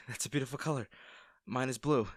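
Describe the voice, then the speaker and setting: A man playing a kind, worried young male rescuer in a roleplay audio drama, speaking in a gentle, hesitant, reassuring manner. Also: mid-range voice, moderate pace, dry recording, studio quality, natural voice. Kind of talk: acted first-person roleplay audio drama.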